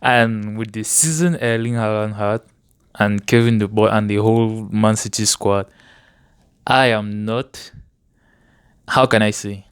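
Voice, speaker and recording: A man's voice: lowish voice, measured pace, pauses often, clear, resonant tone, dry recording, clean studio sound. A man, chatting casually about sport as a guest in an interview. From Cameroon.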